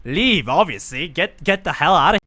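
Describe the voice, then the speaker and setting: A man's voice: a little high, animated, moderate pace, bright, forceful tone. A man live streaming a video game, reading dialogue and reacting in a rambling way, often repeating himself.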